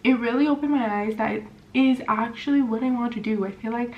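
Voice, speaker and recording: A woman's voice: on the high side, brisk. A woman in her twenties from California, chatting casually and rambling with lots of fillers while doing her makeup at home. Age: 20s. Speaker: vlogger. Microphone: camera mic. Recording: slightly dull sound with faint hiss.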